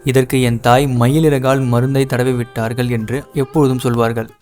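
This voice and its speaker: A man's voice: mid-range voice, little pitch movement, a little fast, darkish tone, faint music behind, natural voice. An actor voicing a scheming young male impostor posing as a prince in a cartoon, smug and confident. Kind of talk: cartoon voice acting.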